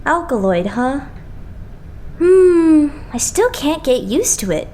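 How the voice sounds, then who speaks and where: A woman voicing a blunt, excitable, skeptical young idol in an anime fandub. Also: high voice, slow, a little hiss or hum, full character voice. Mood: puzzled. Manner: blunt, expressive. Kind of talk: anime game fandub acting.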